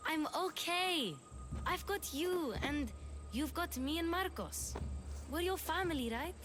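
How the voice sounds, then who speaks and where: A woman's voice: extremely high pitch, animated, unhurried. A woman playing a brave, affectionate little orphan girl in a video game, speaking earnestly. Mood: plucky, earnest. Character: plucky, affectionate orphan girl, a small child. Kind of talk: cinematic video game dialogue acting.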